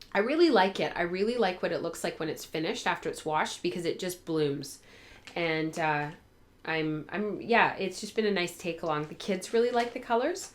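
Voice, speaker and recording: Low-pitched woman chatting, slightly worn by a cold. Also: fairly bright tone. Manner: informal, rambling, thinking aloud. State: getting over a cold, voice tiring. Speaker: host of a spinning and fibre craft show. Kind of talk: casual chat to viewers.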